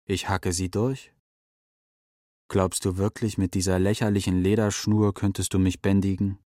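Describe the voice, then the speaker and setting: A proud eagle speaks through a male actor in a low voice, haughty and defiant. The sound is very dry, as in an intimate children's radio play, and each phrase is measured and scornful of being tamed. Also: level tone, warm tone, dry recording, natural voice.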